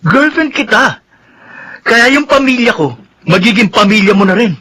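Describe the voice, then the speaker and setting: A man's voice: very high voice, animated, normal speed, sharp tone, breathy edge, exaggerated voice. An actor playing a stern, confrontational young man in a Filipino radio soap opera, with a Filipino accent. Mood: indignant. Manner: confrontational, scolding.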